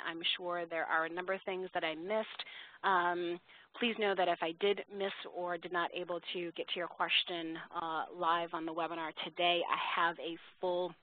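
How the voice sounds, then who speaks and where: A woman presenting a webinar question-and-answer session over a phone line, speaking politely and informally with a halting, hesitant delivery. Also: on the low side. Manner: polite, formal address to audience. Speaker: webinar presenter for a software company.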